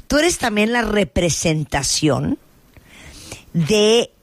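A woman's voice: medium pitch, sing-song, slow pace, no echo. A woman in her thirties hosting a radio talk show, chatting and throwing playful questions at listeners and guests. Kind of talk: casual radio chat.

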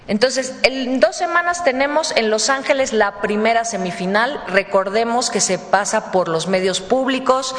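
A woman with a Mexican accent giving a semi-scripted public presentation, somewhat halting as she goes. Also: mid-range voice. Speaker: presenter at a government press conference. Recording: echoey hall with long reverb.

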